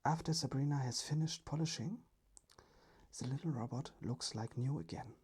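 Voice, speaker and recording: A storyteller reading a children's story in a hushed, careful ASMR whisper. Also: medium pitch, normal speed, breathy edge.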